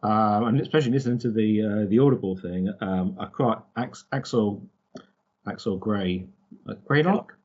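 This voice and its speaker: A man chats casually as a podcast co-host in a fairly low, rough-edged voice. He speaks haltingly, repeating words as he goes. The recording is slightly muffled. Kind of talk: casual chat.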